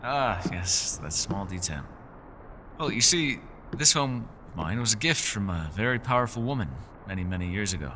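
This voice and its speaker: A man's voice: lowish voice, lively intonation, normal speed, noisy background, natural voice. A man voicing a mysterious, playful elf scholar in a fantasy ASMR roleplay, speaking in genteel, theatrical, formal phrases. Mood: proud, explanatory. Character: mysterious, courteous, playful male elf scholar living alone. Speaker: voice actor. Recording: rain, thunder and storm ambience behind. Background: rain and thunder sound effects.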